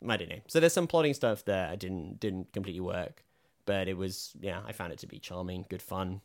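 A man co-hosting a podcast, reviewing films in a rambling, hesitant way, with lots of fillers and a slightly croaky voice. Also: low-pitched, brisk. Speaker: podcast co-host. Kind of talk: casual film review chat.

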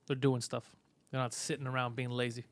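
A man whose first language is Greek, hosting a podcast in a casual, crude chat, loud, sarcastic and mocking, swearing often. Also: medium pitch, little pitch movement, brisk. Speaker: podcast host.

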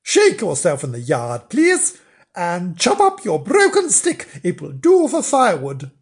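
Storyteller putting on a shrill, scolding housewife. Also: sing-song, a little slow, a little mellow, husky. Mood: bossy, cross. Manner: bossy, exclamatory nagging. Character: scolding, house-proud wife, shrill and exasperated. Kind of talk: children's storybook character voice.

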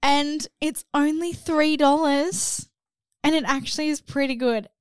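A woman's voice: high-pitched, fairly even intonation, unhurried, no room sound. A young woman co-hosting a podcast, chatting eagerly and leaning on filler words. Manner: chatty, many "like" fillers, enthusiastic. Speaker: podcast co-host. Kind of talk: casual chat.

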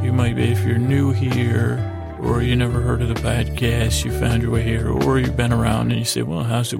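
A man hosting a sleep podcast in a gravelly voice, meandering and halting through gently absurd bedtime monologue, repeating himself and drifting into digressions. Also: mid-range voice. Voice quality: gravelly, creaky voice. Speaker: podcast host.

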